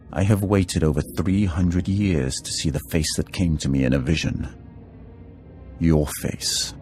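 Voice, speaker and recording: An ancient Sith lord for a game cutscene. The voice is very deep, measured and formal, with portentous calm, over background music. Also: male voice. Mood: calm, ominous. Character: solemn centuries-old Sith lord, calm defector with a vision.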